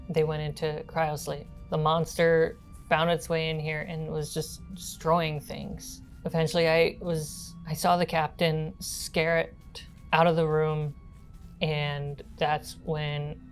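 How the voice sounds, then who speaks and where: A woman's voice: low-pitched, level tone, slow, gravelly, soft background music, full character voice. A woman playing a solemn, hesitant young girl survivor in a tabletop horror roleplaying game. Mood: hesitant, matter-of-fact.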